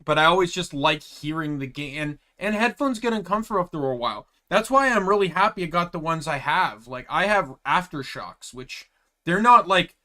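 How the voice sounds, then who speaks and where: Chatty young man, bright loud voice. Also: a little high, lively intonation, moderate pace. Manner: chatty, rambling asides. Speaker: streamer. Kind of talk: casual gameplay chat. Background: game music.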